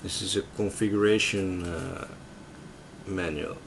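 Low-voiced Dutch man, slow talker. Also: steady tone, vocal fry, background noise. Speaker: tech reviewer. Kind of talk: casual unboxing commentary. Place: indoors at a desk in a room.

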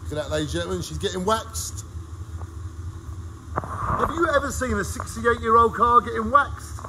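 A man's voice: sits high, normal speed, noisy background. A middle-aged man filming himself on his phone at an outdoor car wash, chatting to viewers like a showman. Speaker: vlogger. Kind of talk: cheerful vlog commentary. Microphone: phone held at arm's length.

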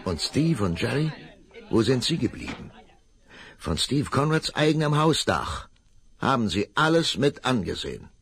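A man narrating a radio play in calm, measured tones. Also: medium pitch, breathy edge. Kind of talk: scripted narration.

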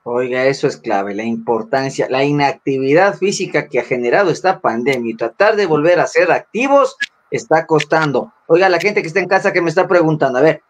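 A man's voice: on the high side, animated, a little fast, slight background noise. A middle-aged man with an Ecuadorian accent, hosting a live sports talk show over a video call, chatty and repeating key phrases. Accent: Ecuadorian Spanish. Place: indoor room, video call. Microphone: webcam mic on a video call.

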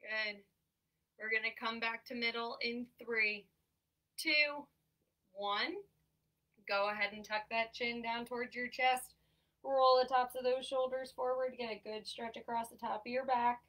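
A young woman talks through stretches with upbeat encouragement and counts each hold down slowly. Her voice is bright and a little high, with a stuffy, congested edge. She is heard from across a small room on a camera mic. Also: fairly even intonation, recorded from a distance, poor audio. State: congested from allergies, breathing a bit heavily. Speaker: occupational therapist leading an exercise class. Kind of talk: guided workout instruction. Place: small room at home, in front of a banner backdrop.

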